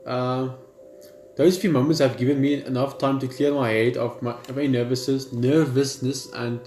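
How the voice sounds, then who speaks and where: A man with a mid-range voice reads game text aloud in a halting way, repeating words and dropping casual asides. The room is echoey and game music plays faintly behind him. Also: mid-range voice, flat delivery, darkish tone. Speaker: gaming video host.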